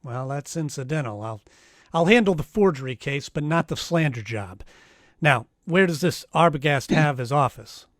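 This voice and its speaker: An actor playing a wry, hardboiled private detective in a noir radio drama, casual, clipped and wisecracking. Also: male voice, a little high, expressive, normal speed, fairly bright tone, no echo, studio quality. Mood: dry, businesslike.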